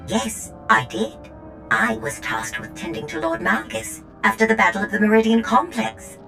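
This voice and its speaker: A woman's voice: medium pitch, very animated, normal speed, music in the background. A woman voicing a frightened female medical droid who begs for help, in a game cutscene. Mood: pleading. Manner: desperate, begging.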